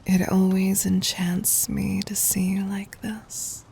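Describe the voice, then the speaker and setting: Slow, whispering, doting demon queen, acted by a woman. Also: mid-range voice, monotone, heavily acted, poor audio. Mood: teasing, affectionate. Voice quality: soft, breathy whisper. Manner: tender, slow, intimate, formal wording. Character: gentle, doting, teasing demon queen, adult female. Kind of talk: whispered ASMR roleplay.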